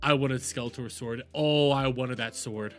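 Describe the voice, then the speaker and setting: A man's voice: medium pitch, unhurried, a bit bright, faint music behind. A man hosting a reaction livestream, giving opinionated commentary in an emphatic, ranting way and repeating phrases for stress.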